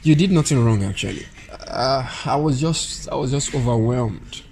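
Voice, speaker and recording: A man with a Nigerian accent playing a devout, principled and weary officer in a radio drama, speaking in a halting, solemn way. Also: medium pitch, creaky, a little mellow, slight background noise, natural voice. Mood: apologetic, subdued.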